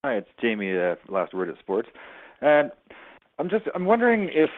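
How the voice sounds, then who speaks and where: A man, a sports reporter, asking a question on a press conference call in a hesitant way, pausing mid-phrase. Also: mid-range voice, huge pitch swings. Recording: narrow, radio-like phone line.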